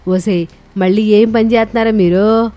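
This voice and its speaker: A woman's voice: mid-range voice, steady tone, normal speed, dark tone, lightly acted. A woman voicing a bossy, exasperated older mother-in-law in a cartoon family story, scolding and weary. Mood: angry. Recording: music under the voice.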